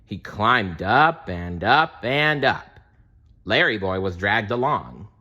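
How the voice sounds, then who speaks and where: 느리고 표현력 풍부한 남자 동화 낭독자. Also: male voice, lowish voice, big pitch swings, takes it slowly, bright, forceful tone. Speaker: storybook reader. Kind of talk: scripted children's read-aloud narration.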